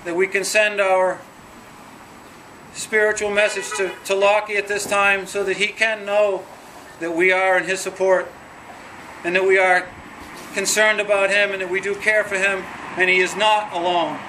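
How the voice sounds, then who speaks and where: A man's voice: high-pitched, flat delivery, bright, forceful tone, a little hiss or hum. A man leading an earnest spoken prayer at a nighttime outdoor vigil in a gazebo, recorded by a distant camera. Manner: earnest, measured praying.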